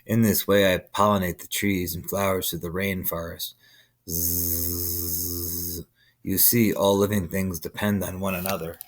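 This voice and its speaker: A man voicing a bee who buzzes between his phrases, slow and hushed. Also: sits low, level tone, pitched below the performer's own voice. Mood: gentle. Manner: drawn-out buzzing between phrases. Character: buzzing worker bee.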